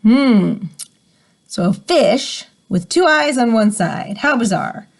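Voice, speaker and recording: Female educator, expressive picture-book read-aloud with teaching asides. Slightly high pitch, swooping melody, dramatic stresses. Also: slow, creaky. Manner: animated, dramatic emphasis.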